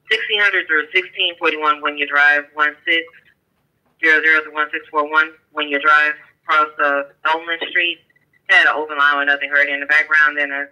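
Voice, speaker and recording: A man's voice: on the high side, pushed and bright, low-quality recording. A man working as an emergency dispatcher, speaking procedurally over a police scanner radio and reading numbers out digit by digit.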